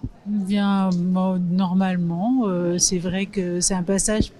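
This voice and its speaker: Woman in her sixties, mellow voice. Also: lowish voice, level tone, slow. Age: 60s. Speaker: passer-by.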